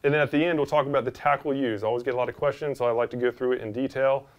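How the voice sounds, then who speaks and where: A man's voice: medium pitch, steady tone, racing, muffled recording. A man in his forties talking casually and enthusiastically to the camera as a fishing instructor, recorded indoors on a lapel mic. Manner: enthusiastic, informal. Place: small indoor studio room. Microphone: clip-on lapel mic.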